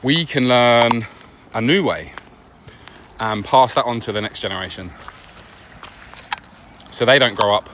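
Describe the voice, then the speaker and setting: A man giving an earnest, reflective podcast monologue on an outdoor walk, speaking conversationally in short phrases with pauses between them. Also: mid-range voice, fairly bright tone. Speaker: podcast host. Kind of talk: reflective personal monologue while walking a dog. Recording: noisy outdoor recording with nature ambience.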